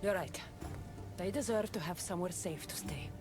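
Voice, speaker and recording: A woman's voice: on the low side, normal speed, lightly acted. A woman playing a resolute, plain-spoken young mercenary in a video game, speaking earnestly and directly. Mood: earnest, wry. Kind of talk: video game character acting. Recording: game dialogue under clear music.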